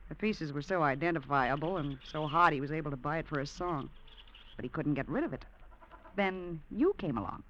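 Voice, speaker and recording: An actress playing a greedy, scheming gangster's girlfriend in an old radio drama, taunting and insistent. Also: low voice. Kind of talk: 1940s-50s radio drama acting. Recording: muffled vintage radio recording, background hiss.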